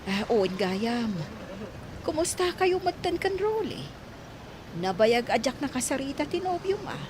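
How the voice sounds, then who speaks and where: An actress with a Filipino accent playing a gentle, concerned older mother giving coaxing advice in a radio drama. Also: high-pitched, sing-song, a little slow, noisy background.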